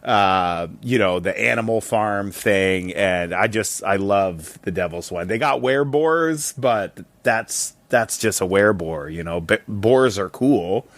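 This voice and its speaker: A man's voice: on the low side, a little slow, hardly any pauses, fairly bright tone, dry recording. A man leaving a casual, chatty voicemail in an informal, slangy and enthusiastic manner. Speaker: podcaster leaving a listener voicemail.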